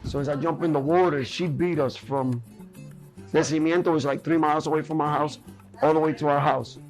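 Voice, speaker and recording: A man's voice: fairly high pitch, fairly even intonation, a little fast, faint music behind. A man from Puerto Rico, a boxer and gym owner, speaking earnestly and candidly in a documentary interview, often adding "you know what I mean".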